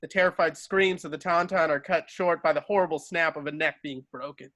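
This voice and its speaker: A man's voice: fairly high pitch, steady tone, fairly quick, a bit bright, no room sound. A man reading stage directions as narrator in an amateur table read, now and then stumbling and correcting himself.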